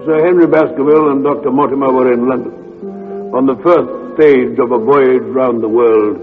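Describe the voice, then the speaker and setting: Actor as grave Watson narrating, muffled and reverberant. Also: male voice, mid-range voice, steady tone, moderate pace, close to an everyday voice. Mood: measured, reflective. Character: Doctor Watson, grave gentleman narrator recounting a case. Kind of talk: dramatic radio narration. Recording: muffled old radio drama, reverb, music behind.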